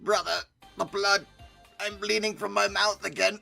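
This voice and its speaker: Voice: medium pitch, flat delivery, normal speed, vocal fry, bright, forceful tone, soft background music. A performer voicing a suffering creature near death, in a plaintive, melodramatic manner for a comic video.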